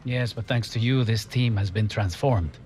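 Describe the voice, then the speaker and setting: A male actor plays a middle-aged football coach in a dramatic game cutscene. His voice is on the low side, earnest and reflective, with soft music underneath. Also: moderate pace, natural voice. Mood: approving. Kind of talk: dramatic game cutscene acting, measured speeches.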